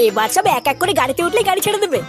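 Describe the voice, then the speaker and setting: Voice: very high-pitched, big pitch swings, very fast. A performer voicing a foul-mouthed, irritable young male troublemaker in a comedy cartoon, brash and scolding. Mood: explaining. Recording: music behind the voice. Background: background music.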